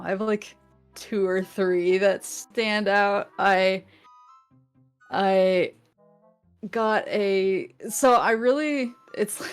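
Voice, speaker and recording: Giggly, halting woman guest. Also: mid-range voice. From New England. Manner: giggly, halting, many restarts. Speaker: guest vtuber. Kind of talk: casual chat.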